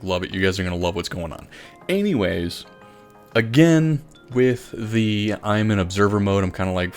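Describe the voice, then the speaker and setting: A man with a low voice hosts a gaming video in a casual, rambling style. He talks informally and with enthusiasm, and faint music plays behind him. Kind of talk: casual Minecraft time-lapse commentary. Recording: voice over background music.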